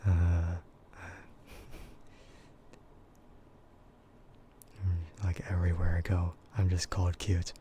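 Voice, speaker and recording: A young man streaming, talking in a soft, half-whispered voice, hesitant and full of fillers and quiet laughs. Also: low voice, flat delivery, drawn-out words, long pauses, a little hiss or hum. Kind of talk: soft-spoken ASMR chat with viewers.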